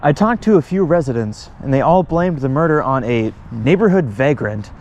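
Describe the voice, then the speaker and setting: A man acts out a field investigator in an audio drama. He is sarcastic and easily exasperated, talking in a natural, middle-pitched voice. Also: lively intonation, normal speed, a little mellow, natural voice. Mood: businesslike. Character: exasperated, sarcastic male field agent of a secret department.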